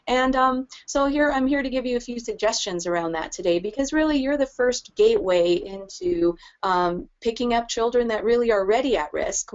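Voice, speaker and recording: A woman's voice: mid-range voice, highs cut off. A woman presenting practical advice in a webinar, friendly and explanatory, with light laughs. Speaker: early childhood language specialist presenting.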